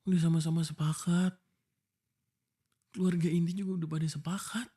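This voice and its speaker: A man's voice: on the high side, little pitch movement, brisk, dark tone, husky. A young man with an Indonesian accent, voicing a frustrated, pleading boyfriend in an ASMR roleplay.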